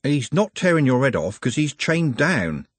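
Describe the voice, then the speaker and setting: A man's voice: medium pitch, big pitch swings, normal speed, dry recording, pitched above the performer's own voice. A man voicing a sceptical, know-all butler in a storybook, matter-of-fact and colloquial. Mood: insistent.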